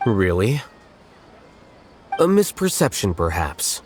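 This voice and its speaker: A man playing an aloof, coolly logical young scholar-official in a video game, speaking in a dry, detached, measured way. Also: lowish voice, very animated, darkish tone. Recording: music behind the dialogue.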